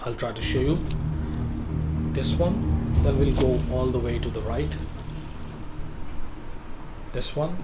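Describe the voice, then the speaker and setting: A man's voice: medium pitch, slow pace, pauses often, loud music behind the voice. A man hosting a casual game walkthrough, guiding the viewer step by step and often repeating himself. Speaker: gameplay walkthrough host.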